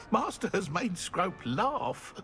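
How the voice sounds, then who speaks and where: A man's voice: sits high, fairly bright tone, exaggerated voice. A man playing a timid, servile house-elf in a video game, fawning, apologetic and hesitant, speaking of himself in the third person. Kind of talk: video game character acting.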